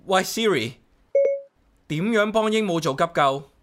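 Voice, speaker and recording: A man's voice: high voice, lively intonation, unhurried, a bit bright. A man with a Hong Kong accent playing a grumpy, solitary, sarcastic man in a comic radio drama, grumbling and muttering to himself. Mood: flustered. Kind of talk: comic radio drama acting and inner monologue.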